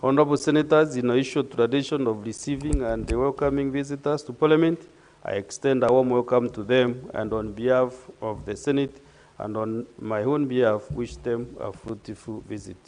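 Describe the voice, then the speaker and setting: A man presiding over a senate session, speaking in a measured, formal way with some hesitations. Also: medium pitch, a little mellow. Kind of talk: formal parliamentary proceedings. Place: legislative chamber.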